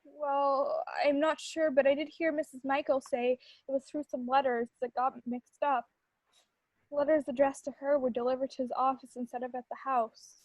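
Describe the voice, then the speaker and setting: A woman's voice: high voice, little pitch movement, normal speed, a little mellow, no room sound, natural voice, rough recording. A woman playing a fragile, lovelorn young village woman in a 1940s-style radio melodrama. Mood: hesitant.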